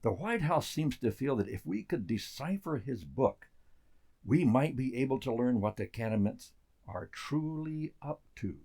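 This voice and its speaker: An actor playing a brusque, skeptical military colonel in a radio drama, probing in short clipped phrases. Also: male voice, mid-range voice, lively intonation, measured pace, vocal fry, darkish tone. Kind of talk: stage-style radio drama acting.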